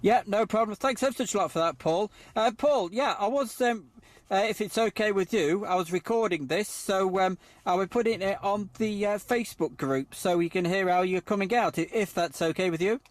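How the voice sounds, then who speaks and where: A man speaks casually on CB radio in a high voice. He is polite and slightly hesitant. The sound is dry, without room echo. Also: normal speed. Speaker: radio net controller.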